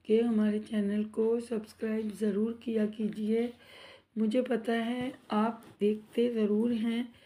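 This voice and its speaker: Woman cooking-vlog host, sore throat, slow measured delivery, fairly high but flat voice, chatty with viewers. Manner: chatty, addresses viewers as guys, asks for subscribes. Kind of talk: casual cooking vlog narration. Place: home kitchen.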